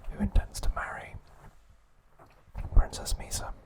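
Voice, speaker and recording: Man, breathy whisper, very slow pace, ASMR narration, soothing and hushed. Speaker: ASMR host.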